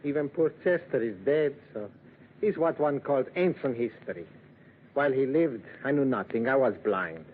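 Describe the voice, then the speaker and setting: An actor playing a sly, jealous foreign gentleman with an accent, speaking in halting, quaintly formal phrases in a 1940s radio drama. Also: mid-range voice, animated, close to an everyday voice. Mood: wounded, earnest. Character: foreign gentleman, polite, sly, jealous husband, quietly menacing. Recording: tinny, faintly noisy vintage radio recording.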